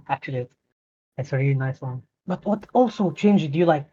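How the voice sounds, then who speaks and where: Guy asking questions kinda haltingly, mellow slightly high voice, muffled lo-fi mic. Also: lively intonation. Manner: halting, hesitant phrasing with restarts. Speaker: interviewer, server admin. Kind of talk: casual interview chat. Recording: dull lo-fi mic.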